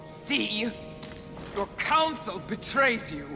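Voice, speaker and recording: A man's voice: high-pitched, big pitch swings, slow, full character voice. A man voicing a haughty, tempting demon with a grandiose, persuasive delivery in a video game. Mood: triumphant. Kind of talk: dramatic video game acting. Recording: long hall reverb, music behind.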